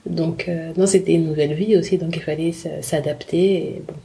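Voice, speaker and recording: Soft-spoken woman with hesitant delivery. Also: sits low, steady tone, brisk, creaky. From Congo. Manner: hesitant, many fillers and restarts. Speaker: interview guest. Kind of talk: casual conversational interview.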